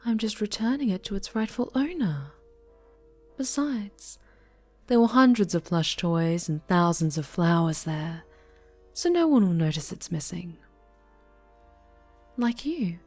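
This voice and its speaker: A woman acts out a sinister winged superheroine in an ASMR audio drama, obsessive and possessive. Her delivery is slow and breathy, softly menacing as it coos and condescends, sometimes sinking into whispers. Faint music plays behind her. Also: on the low side, exaggerated voice. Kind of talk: slow, intimate ASMR roleplay acting.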